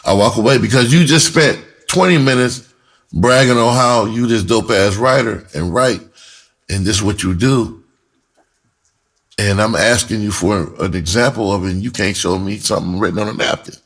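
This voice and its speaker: Deep, husky-voiced American man telling stories. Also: fairly bright tone. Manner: blunt, profane, emphatic, street slang. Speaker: screenwriter telling his own story. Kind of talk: casual first-person storytelling to camera.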